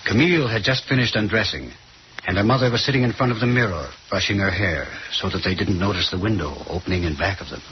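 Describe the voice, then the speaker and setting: A formal, macabre storyteller played by a man narrates an old radio horror play. The voice is fairly low and deliberate, on a muffled recording with faint noise. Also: close to an everyday voice. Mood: suspenseful.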